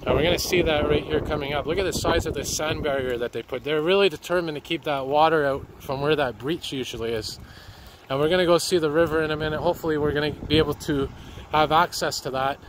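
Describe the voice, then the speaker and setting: A man, slightly hoarse from a cold, narrating as he walks by a flooded river with a handheld camera. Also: on the high side. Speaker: citizen video reporter. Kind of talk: walking commentary. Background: street and water noise.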